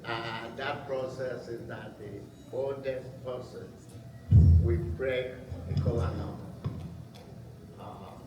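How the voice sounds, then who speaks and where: A man, an elder at a community ceremony, explaining a custom slowly with fillers. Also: medium pitch, steady tone, loud music behind the voice. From Nigeria. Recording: echoey hall, distant mic, faint noise.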